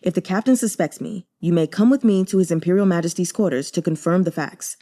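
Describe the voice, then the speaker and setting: A stern, frosty nobleman who brushes off questions in short, clipped sentences, voiced by a woman narrator. The voice sits a little low and stays mellow rather than bright. Measured and commanding. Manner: cold, clipped and authoritative. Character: cold, commanding nobleman, a stern grown man.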